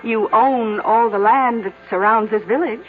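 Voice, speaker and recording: A woman's voice: a little high, measured pace, natural voice. An actress playing a bold, sly small-town American woman, politely accusing, in a radio drama. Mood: coolly accusing. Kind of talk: 1970s radio drama acting. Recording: old tinny narrow-band radio, faint music.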